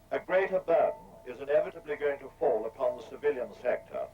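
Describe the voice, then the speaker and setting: A man's voice: on the high side, muffled recording, a little hiss or hum. A man giving a formal speech to troops as an official at a military ceremony, on 1970s archive film sound.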